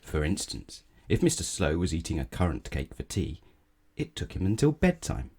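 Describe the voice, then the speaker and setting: Low, mellow, animated male storybook reader. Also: slightly rough. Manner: expressive, measured storytelling with rhetorical asides. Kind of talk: children's read-aloud narration.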